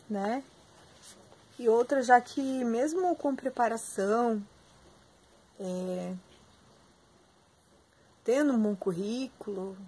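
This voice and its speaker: A young Brazilian woman lectures her students online, her voice hoarse and husky from strain. Her pitch sits a little high for a woman, and her words come out breathy. She fills the pauses with hesitations and checks in with the class. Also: darkish tone. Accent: Brazilian Portuguese. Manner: filler-heavy, addresses students directly. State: hoarse, clearing her throat. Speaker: psychopedagogy student teaching a class. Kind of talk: online lecture reading from a textbook.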